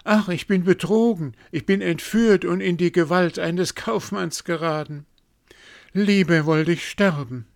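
A man's voice: medium pitch, expressive, slow pace, darkish tone, dry recording, clean studio sound, pitched above the performer's own voice. A man voicing a young queen in a fairy tale, with a raised, exclaiming voice. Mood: frightened. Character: young queen, a princess, easily delighted.

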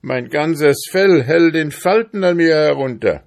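A man's voice: a little high, unhurried, vocal fry, a little mellow, pitched above the performer's own voice. A man voicing a grumpy, stingy male hamster farmer in a storybook, blustering and grumbling indignantly. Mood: offended, self-pitying.

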